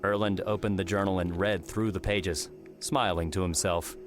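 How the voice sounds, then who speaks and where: A man's voice: deep voice, fairly even intonation, normal speed. A man narrating a fiction audiobook, reading scripted story prose. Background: music.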